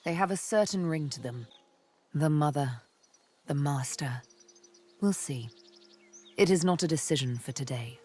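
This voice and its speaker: A woman's voice: low voice, a little slow, long pauses, a little mellow, breathy edge. A woman playing a cool, sardonic, vengeful elf assassin in a fantasy game, poised, wry and intimate. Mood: wry, guarded. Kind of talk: fantasy video game acting.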